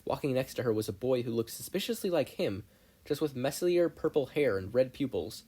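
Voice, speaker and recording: A podfic narrator reading scripted fiction aloud in an expressive storytelling style. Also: medium pitch, fairly quick, breathy edge.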